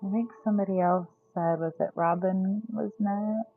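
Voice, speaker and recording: A woman in her forties chats loosely with her viewers while reading tarot, dropping "like" often and laughing between thoughts. Her pitch sits in an ordinary middle range. The sound is narrow and tinny, like a phone line. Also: fairly even intonation, moderate pace, soft background music. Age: 40s. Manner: chatty, laughs often, lots of "like". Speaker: tarot reader hosting a live sale stream. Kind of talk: casual livestream chat.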